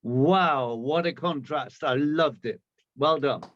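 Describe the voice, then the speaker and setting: High-voiced man on muffled call. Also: a bit bright, a little hiss or hum. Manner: earnest, halting praise. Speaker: guest musician. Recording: dull lo-fi video call audio.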